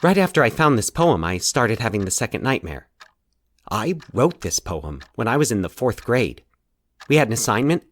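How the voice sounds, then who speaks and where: Man acting a haunted, halting man confessing nightmares. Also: mid-range voice, sing-song. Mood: uneasy. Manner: hesitant, anxious, halting, with dramatic pauses. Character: troubled man confiding recurring nightmares to his psychiatrist. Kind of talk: dramatic audio fiction monologue.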